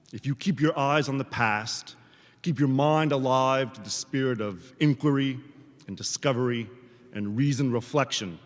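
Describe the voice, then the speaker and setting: A man delivers a formal commencement address as a professor, speaking in measured, deliberate phrases with rhetorical pauses. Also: mid-range voice. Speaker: professor giving a commencement speech. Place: large hall at a graduation ceremony. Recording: reverberant large hall, amplified speech.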